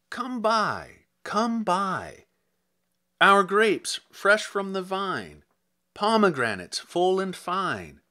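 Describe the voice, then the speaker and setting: A man voicing tempting male goblin fruit merchants in a dramatic poem reading, chanting a drawn-out, singsong street cry. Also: sits high, pushed and bright, voice pushed up, full character voice.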